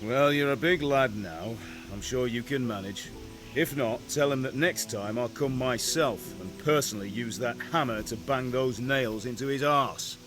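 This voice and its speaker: A man's voice: medium pitch, moderate pace, lightly acted. A man playing a middle-aged village blacksmith, firm and plain-spoken as he orders his son about in a video game cutscene. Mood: teasing, bossy. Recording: music behind the voice.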